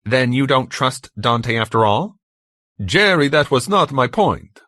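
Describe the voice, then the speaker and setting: A man's voice: medium pitch, animated, unhurried, bright, forceful tone, dry recording, clean studio sound, pitched above the performer's own voice. A man voicing a stern, earnest man who lectures his companions in a formal, emphatic way for a story. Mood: indignant.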